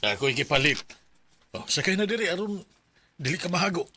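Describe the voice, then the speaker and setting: A man's voice: sits high, lively intonation, brisk, sharp tone, slight background noise. A man playing a coaxing, generous middle-aged stepfather in a radio drama, with a Filipino accent. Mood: offering, insistent.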